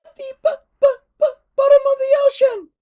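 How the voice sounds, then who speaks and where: A woman's voice: flat delivery, normal speed, dark tone, lo-fi sound. Woman putting on a shrieking, stammering little dragon. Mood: excited. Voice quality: shrill, piercing. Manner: stammering, repeating words. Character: squeaky, stammering, grumpy little male dragon.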